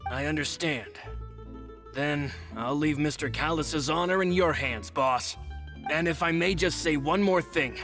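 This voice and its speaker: Sharp, bright loyal male henchman. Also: on the high side, unhurried, soft background music. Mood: loyal, solemn. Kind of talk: scripted video game voice acting.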